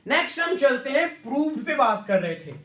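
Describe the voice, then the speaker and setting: A man's voice: very high-pitched, big pitch swings, normal speed, fairly bright tone. A man with a Pakistani Punjabi accent lecturing to a class, explaining repetitively, often checking for agreement and mixing in English legal terms. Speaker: law lecturer. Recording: echoey room sound.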